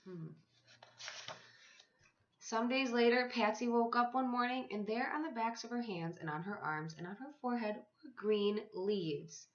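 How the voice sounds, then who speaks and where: A woman reading a story aloud to young children like a teacher, with expressive, deliberate phrasing. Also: on the high side. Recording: echoey room, slightly dull sound.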